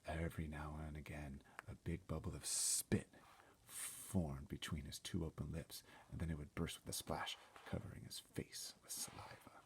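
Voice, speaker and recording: A man's voice: a bass voice, flat delivery, moderate pace. A man reading a children's story aloud as dramatic narration, theatrical and suspenseful, often dropping to a whisper. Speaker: storybook reader.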